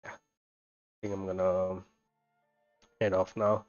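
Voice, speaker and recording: A man giving casual, low-key live gameplay commentary as a streamer, rambling along as he talks. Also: completely flat delivery, takes it slowly, darkish tone, no room sound, highs cut off. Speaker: game streamer. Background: game music.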